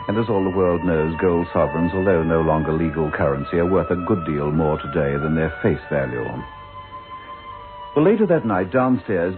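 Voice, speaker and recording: An actor playing a grave, wry storyteller, narrating a radio ghost drama in a deliberate, theatrical way. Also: male voice, sits low. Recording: tinny radio recording with music underneath.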